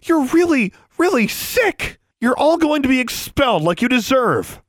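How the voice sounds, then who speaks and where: A man's voice: extremely high pitch, big pitch swings, a little slow, dry recording, clean studio sound, pitched above the performer's own voice, exaggerated voice. A man voicing an outraged, defiant teenage witch girl in an audiobook, exclaiming indignantly and emphatically. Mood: disgusted, scornful.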